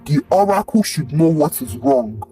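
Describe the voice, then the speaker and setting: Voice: medium pitch, big pitch swings, normal speed, mellow, breathy edge, distant mic, soft background music, rough recording. A storyteller voicing a firm male villager giving emphatic, persuasive advice. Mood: insistent.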